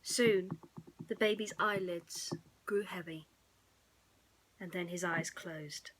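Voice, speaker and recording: Female bedtime storyteller, unhurried and hushed, with a bright edge to the tone. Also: fairly low pitch, steady tone, a little hiss or hum, low-quality recording. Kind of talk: soothing bedtime story narration.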